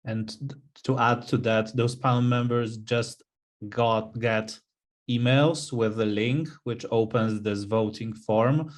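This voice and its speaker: Slow, even-toned middle-aged man. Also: mid-range voice. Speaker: webinar panelist. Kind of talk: explanatory webinar answers. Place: indoors, on a video call.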